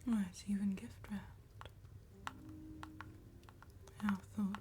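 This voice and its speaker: A woman voicing a courteous, sly female vampire tattooist in a gothic ASMR roleplay, soft and breathy, with formal phrasing and veiled menace. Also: medium pitch, little pitch movement, a little hiss or hum, lightly acted. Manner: slow, formal, old-fashioned politeness with veiled menace.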